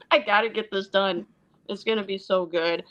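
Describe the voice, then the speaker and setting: A woman from Iceland with a bright, forceful voice at a middling pitch. She chats casually as a filmmaker guest, rambling from one aside to the next and acting out little quotes in an animated way. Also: measured pace, lo-fi sound. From born in Iceland, Icelandic mother and American father. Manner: animated, rambling storyteller who acts out quotes. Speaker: interview guest, independent filmmaker and actress. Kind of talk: casual chat about own film projects.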